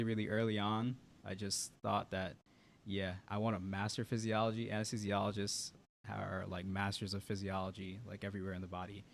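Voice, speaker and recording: A man in his twenties from Guyana, a medical student telling his story in a relaxed, casual way. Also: fairly low pitch, slight background noise. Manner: relaxed, casual, many "like" fillers. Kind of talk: casual interview talk.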